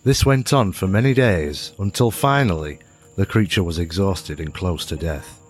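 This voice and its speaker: A man's voice: deep voice, normal speed, natural voice. A man acting as a storyteller in an audio drama, narrating a fable in a dramatic storybook style. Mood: measured storytelling. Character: man telling a fable to a youngster. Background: music.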